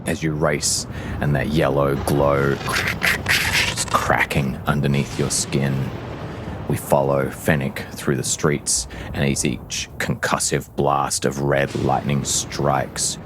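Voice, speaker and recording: Very deep-voiced man narrating with slow drama. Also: flat delivery, background noise. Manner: measured, theatrical storytelling. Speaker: game master. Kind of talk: dramatic tabletop game narration. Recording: loud plucked-guitar music bed. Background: loud guitar music.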